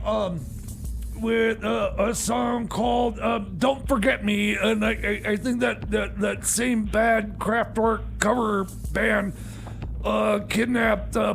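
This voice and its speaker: A man playing a flustered, grumpy, bumbling radio DJ who stammers and rambles haltingly through his music show. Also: high-pitched, vocal fry, a little hiss or hum, heavily acted, poor audio. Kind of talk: comedic community radio DJ chatter.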